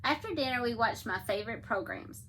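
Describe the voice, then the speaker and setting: A woman reading picture books aloud to young children in an animated, engaging way, often addressing her listeners directly. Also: on the high side, brisk, slight background noise.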